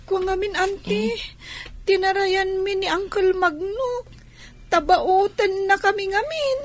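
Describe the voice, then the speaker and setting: An anxious young big sister in an Ilocano radio play. The voice is extremely high and childlike, with a plaintive, protective way of talking. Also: female voice, slow, no room sound. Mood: frightened, pleading. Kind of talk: Ilocano radio comedy drama acting.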